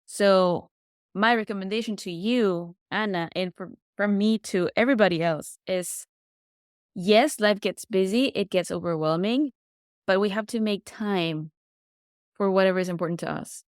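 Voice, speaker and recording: A woman's voice: medium pitch, normal speed, clear, resonant tone, no room sound, studio quality. A woman whose first language is Spanish, a podcast guest chatting casually and sometimes searching for words. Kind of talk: casual interview chat.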